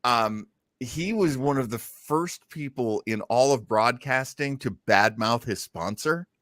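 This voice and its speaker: A man's voice: mid-range voice, a little slow, fairly bright tone, dry recording, studio quality. A man hosting a casual podcast about old-time radio, chatting and commenting with wisecracking banter. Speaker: podcast host.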